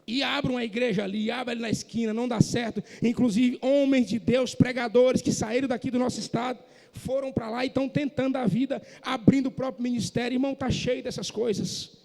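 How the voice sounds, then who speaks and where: A man preaching a sermon in a church, impassioned and rising to shouts, from northeastern Brazil. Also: very high voice, steady tone, a little fast, creaky. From Northeast Brazil, Maranhão. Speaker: pastor. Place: church hall. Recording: echoey church hall.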